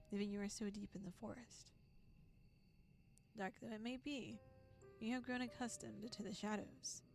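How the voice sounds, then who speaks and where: A woman reading as the theatrical narrator of a horror visual novel, deliberate and foreboding. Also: on the high side, a little mellow. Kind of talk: dramatic second-person storytelling. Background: music.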